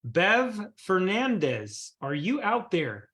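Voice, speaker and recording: A man's voice: high-pitched, very animated, takes it slowly, a bit bright. A man hosting an online community call, talking in an enthusiastic, casual way with frequent fillers. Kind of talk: casual upbeat group call.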